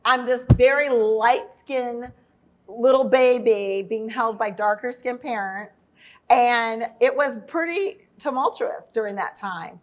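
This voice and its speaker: A woman in her fifties telling a personal story as a podcast guest, earnest and emphatic, with quick asides. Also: fairly high pitch. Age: 50s. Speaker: podcast guest, workforce and inclusion leader.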